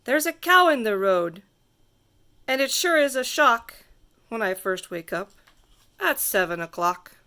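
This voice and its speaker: A woman reading a rhyming picture book aloud to children in a theatrical, sing-song way with drawn-out emphasis. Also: fairly high pitch, sing-song, bright, forceful tone. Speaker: storybook reader.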